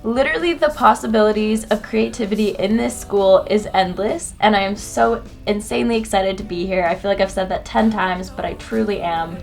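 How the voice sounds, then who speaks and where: A young woman from Canada chatting excitedly in a casual vlog, full of "like" and quick asides. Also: medium pitch, gravelly, faint music behind. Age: young adult. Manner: bubbly, fillers with like. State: stuffed up from a cold. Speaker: vlogger.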